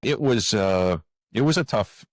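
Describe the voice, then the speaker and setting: A man's voice: on the low side, lively intonation, a little slow, dry recording, clean studio sound. A man speaking reflectively as an interview guest, earnest and hesitant, often repeating words and phrases. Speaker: author, podcast guest.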